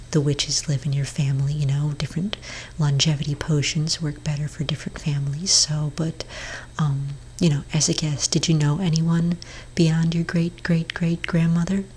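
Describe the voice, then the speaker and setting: A woman speaking very softly and breathily in an ASMR therapist roleplay, gently reassuring with a hesitant, counselling manner. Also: low-pitched, poor audio. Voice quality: breathy, whispery soft voice. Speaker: ASMR performer role-playing a therapist. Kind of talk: soft-spoken ASMR roleplay.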